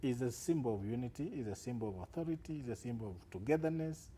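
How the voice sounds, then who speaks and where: A man's voice: medium pitch, lively intonation, fast talker, dark tone, a little hiss or hum. A man, a security analyst guest on live TV news, explaining with assurance and emphatic rises.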